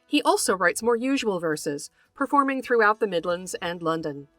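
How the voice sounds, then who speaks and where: A woman hosting a podcast, reading a scripted narration in a measured, carefully enunciated way. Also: medium pitch, lively intonation, fairly bright tone, no echo, faint music behind. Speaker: podcast host. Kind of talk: scripted narration, introducing a guest.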